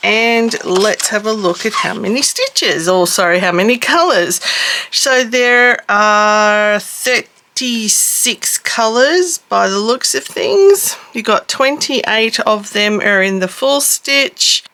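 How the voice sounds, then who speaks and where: A middle-aged woman hosting a casual craft unboxing, her voice rough and husky from a cough, chatty and self-deprecating. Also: mid-range voice, slow pace, bright, forceful tone. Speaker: cross-stitch vlogger host.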